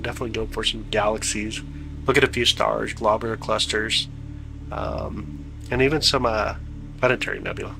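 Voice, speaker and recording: A man's voice: lowish voice, steady tone, normal speed, creaky, music in the background, low-quality recording. A man hosting a live telescope stream, chatting casually with viewers in a laid-back, slangy way, his voice stuffed up from congestion. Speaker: livestream host of telescope views.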